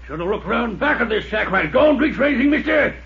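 Casting: wary, folksy desert prospector on the run, high creaky voice, 1950s radio drama. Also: male voice, expressive, fast, full character voice. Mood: wary, threatening. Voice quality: raspy, creaky. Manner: folksy, agitated, suspicious. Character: hunted desperate old desert prospector holding a gun. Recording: muffled lo-fi 1950s radio recording.

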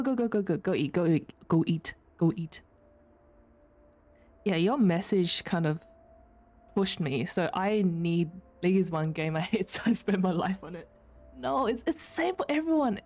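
A woman streamer from Australia with an Australian accent, whose first language is not English, chatting casually with her chat, laughing often and breaking into high squeals. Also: a little mellow. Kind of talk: casual chat reading chat messages.

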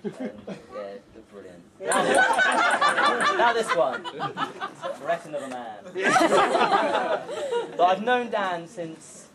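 A man's voice: high-pitched, wildly swooping pitch, extremely slow, long pauses. A young man in his twenties giving a joking best man speech at an outdoor wedding, telling stories and chuckling as he goes. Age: 20s. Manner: joking, storytelling, chuckling through lines. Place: outdoor pub garden at a wedding. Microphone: far camera. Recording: crowd laughter and loud noise from a far camera. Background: laughing wedding crowd.

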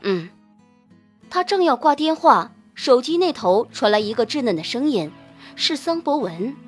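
A woman's voice: high-pitched, big pitch swings, normal speed, soft background music. A woman narrating a novel in a scripted, dramatic audiobook style.

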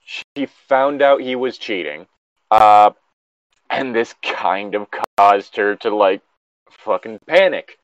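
A man's voice: on the low side, lively intonation, takes it slowly, no room sound. Exasperated man on a dull, dry mic. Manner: sweary, exasperated. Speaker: co-host reading and mocking an online horror story. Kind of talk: casual read-aloud with exasperated commentary.

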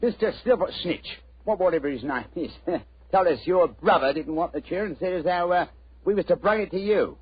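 An actor playing a cheery, pushy furniture remover with a Cockney accent and husky voice, in 1940s radio style. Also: male voice, sits high, animated, brisk, dry recording, heavily acted. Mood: chummy, coaxing. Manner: chummy, coaxing, rhyming slang. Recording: muffled old radio recording.

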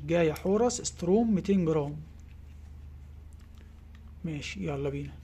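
A man's voice: fairly high pitch, expressive, normal speed, dark tone, a little hiss or hum. A man with an Egyptian Arabic accent, a fishing tackle shop owner, casually presenting giveaway prizes in his shop and coaxing children along, often checking in with "tamam?".

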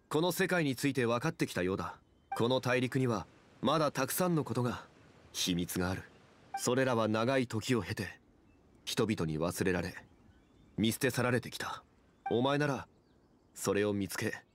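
An actor playing a calm, dignified ancient god in human form in a video game, speaking in a grave, formal, measured manner. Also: male voice, medium pitch, slight background noise, close to an everyday voice. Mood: grave, wistful. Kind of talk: video game character dialogue.

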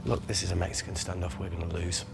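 A man's voice: deep voice, level tone, quick pace, creaky, over music. An actor playing a wry, grim young man scientist under siege in a screen drama, with a sardonic manner. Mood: wry resignation.

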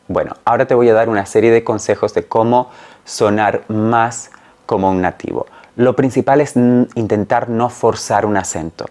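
A man in his forties, a native Spanish speaker, explaining carefully and clearly as a language teacher talking to camera. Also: fairly low pitch, darkish tone. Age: 40s. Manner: clear, careful, didactic phrasing. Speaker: Spanish teacher and channel host. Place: small room at home, indoors.

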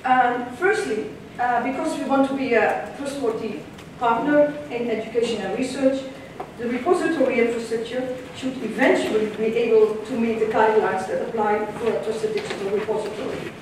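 A Dutch-accented woman presents at a conference, speaking with a somewhat high voice and a measured delivery full of ums and restarts. She is picked up from the back of a big lecture hall, so her voice carries a heavy room echo. A faint hiss of room noise sits underneath. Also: fairly even intonation, a little mellow. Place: large lecture hall with audience. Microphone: room camera far from the stage. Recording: echoey lecture hall from far off.

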